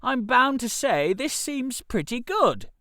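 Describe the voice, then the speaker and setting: A man's voice: extremely high pitch, very animated, takes it slowly, bright, forceful tone, dry recording, studio quality, pitched above the performer's own voice, exaggerated voice. A man voicing a lovelorn, irritable upper-class young Englishman in a storybook reading, grumbling and aggrieved. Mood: grudging approval.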